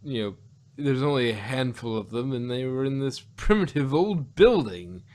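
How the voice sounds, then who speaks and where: A man co-hosting a casual review podcast, rambling along haltingly and often repeating words as he goes. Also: medium pitch, expressive, darkish tone. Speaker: podcast co-host reviewing audio dramas.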